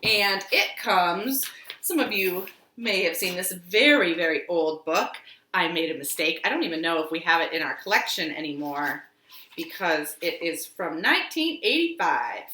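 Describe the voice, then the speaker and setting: Sing-song woman storyteller, very animated and bright. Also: lowish voice, moderate pace, a little hiss or hum. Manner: expressive, sing-song, exaggerated delivery for young children. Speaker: children's storytime host at a library. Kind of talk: children's storytime with action songs, rhymes and picture-book reading. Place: living room at home. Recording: echoey room.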